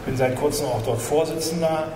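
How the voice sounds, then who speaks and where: A man's voice: a little high, a little fast, noisy background. Older man, distant in echoey hall. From East Germany. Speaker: panelist. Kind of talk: formal self-introduction at a panel. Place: large conference room indoors. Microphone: table microphone.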